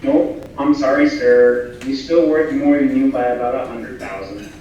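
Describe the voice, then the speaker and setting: An actor playing a dutiful personal assistant, dry and deferential, in a staged comedy reading. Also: male voice, mid-range voice, unhurried, mellow, highs cut off, natural voice. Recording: very echoey room, distant mic, faint noise.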